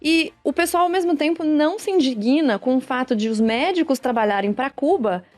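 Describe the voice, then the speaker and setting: A woman's voice: sits high, animated, a little fast, a little mellow, soft background music. A woman hosting a podcast in casual conversation, argumentative, building long explanatory points.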